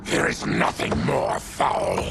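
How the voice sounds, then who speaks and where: A man playing a gruff, defiant but honourable monster warrior in a video game, with a gravelly growl. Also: slow pace, music in the background, natural voice. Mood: stern, resolute. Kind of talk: dramatic video game acting.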